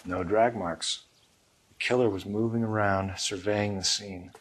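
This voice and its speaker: An actor playing an earnest, determined homicide detective in a video game, with a clipped, serious way of talking. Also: male voice, fairly low pitch, steady tone, measured pace. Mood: businesslike. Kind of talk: video game cutscene acting.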